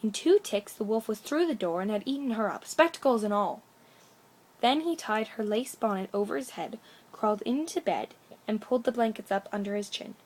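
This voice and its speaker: A woman's voice: medium pitch, moderate pace, a little mellow. A woman storyteller reading a children's bedtime story aloud with expression.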